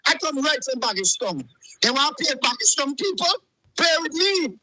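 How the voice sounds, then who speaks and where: A man's voice: extremely high pitch, sing-song, moderate pace, sharp tone, dry recording. A man, calling in to a show and ranting heatedly, repeating himself. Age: 40s. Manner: agitated, repetitive, keeps saying "let me tell you something". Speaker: caller. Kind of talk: heated call-in rant.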